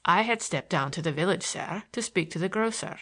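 A woman voicing a sly, imperturbable manservant in an audiobook, speaking in a formal, measured, obsequious manner. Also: lowish voice, animated, pushed and bright. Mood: formal, composed.

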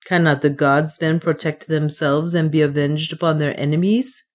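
A woman reading an audiobook, voicing an honest male soldier who speaks gravely and formally with sorrow. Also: sits low, steady tone, normal speed.

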